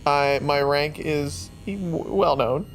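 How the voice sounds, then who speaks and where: A man playing a tabletop roleplaying game, improvising casual dialogue in a blunt, questioning, informal way. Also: slow pace. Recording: faint rumble and background noise.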